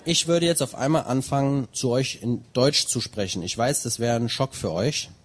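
A man's voice: medium pitch, moderate pace. A man interpreting a sermon line by line, who also reads a scripture passage aloud. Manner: translates line by line, with some fillers and restarts.

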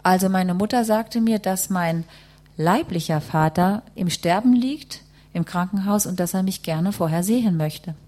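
A woman's voice: fairly low pitch, moderate pace, mellow. A woman working as a live interpreter, rendering a testimony phrase by phrase and rewording as she goes.

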